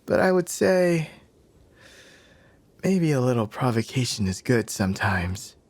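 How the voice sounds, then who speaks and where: Casting: male actor for smug, playful young fighter, mid-pitched, unhurried, teasing the listener in ASMR roleplay. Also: big pitch swings, darkish tone, no room sound. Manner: teasing, smug, breathy sighs and chuckles.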